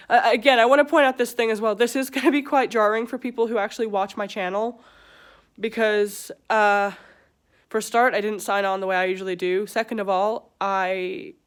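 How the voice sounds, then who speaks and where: Woman in her twenties, English-born with an American accent, smooth clear voice, halting and hesitant confessional vlogger. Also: medium pitch, steady tone. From England. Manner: hesitant, halting, many pauses and fillers. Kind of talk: personal confessional talk.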